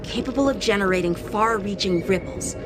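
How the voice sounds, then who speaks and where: An earnest teenage swordsman officer played by a man in a game, with a light, boyish voice and crisp, formal phrasing. He is dutiful and courteous, and music plays clearly behind him. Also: high voice, brisk, lightly acted. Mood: polite, grave. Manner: formal, articulate, polite. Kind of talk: video game voice acting. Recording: background music under the dialogue.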